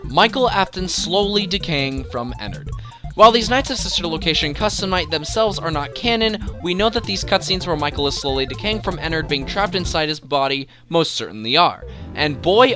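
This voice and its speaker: Bright, forceful male countdown narrator over music. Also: a little high, expressive, moderate pace. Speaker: video creator and countdown host. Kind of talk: enthusiastic scripted top-ten commentary. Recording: music behind the voice.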